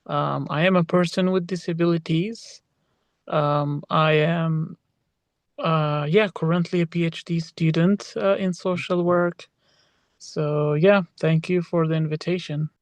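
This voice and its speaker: A man's voice: fairly high pitch, steady tone, unhurried, no room sound. A young man from Iraq, a doctoral student, answering interview questions hesitantly with many fillers and restarts. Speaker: interviewee, PhD student in social work.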